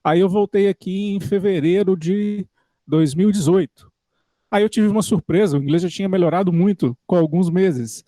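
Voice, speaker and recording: A man's voice: sits high, moderate pace, dark tone, no echo. A man from Brazil hosting a podcast in a casual interview, chatty and informal. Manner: chatty, informal, uses "cara". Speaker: podcast host.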